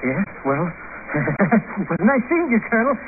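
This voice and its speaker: An actor in a 1950s radio drama playing a cowardly, money-minded comic sidekick, fussy, muttering and slangy. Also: male voice, sits high, sing-song, a little slow, background noise. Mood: nervous, pleading. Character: excitable, cowardly comic sidekick, male, money-minded small-time schemer. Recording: tinny old radio recording, music behind.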